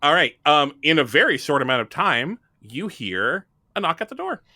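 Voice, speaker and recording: A game director running an improvised tabletop horror-comedy session. The delivery is joking and theatrical, with pitch that swings wildly and a bright, forceful tone, recorded very dry. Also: big pitch swings, moderate pace, no echo. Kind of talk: improvised tabletop roleplay with casual chat.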